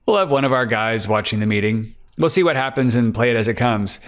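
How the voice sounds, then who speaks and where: A man's voice: medium pitch, lively intonation, fairly quick, natural voice. A man voicing a weary, blunt private detective boss in a crime audiobook, terse and matter-of-fact. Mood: businesslike.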